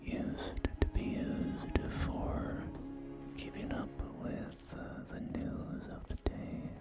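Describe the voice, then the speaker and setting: A man playing a polite, salesy post-apocalyptic real estate agent in a whispered ASMR roleplay, with hesitant, soft-spoken patter. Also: slow, lightly acted. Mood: proud, soothing. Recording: close whisper under loud music. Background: loud music.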